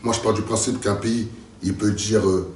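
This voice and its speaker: A man's voice: lowish voice, steady tone, normal speed, a little mellow, reverberant, recorded from a distance, a little hiss or hum. A man from France answering interview questions in an emphatic, repetitive, speechlike way. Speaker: local political activist and candidate.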